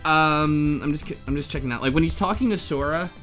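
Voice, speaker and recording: A man's voice: a little high, a little fast, fairly bright tone. A man hosting a casual podcast discussion of a game's story, explaining things in a hesitant, rambling way. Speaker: podcast host. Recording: music under the voice. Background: music.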